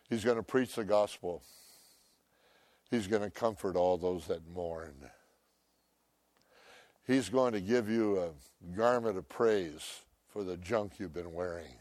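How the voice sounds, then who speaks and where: An older man preaching a sermon, loud and emphatic, swinging between shouts and hushed asides. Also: on the low side, lively intonation, slow, pauses often, dry recording. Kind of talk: impassioned sermon.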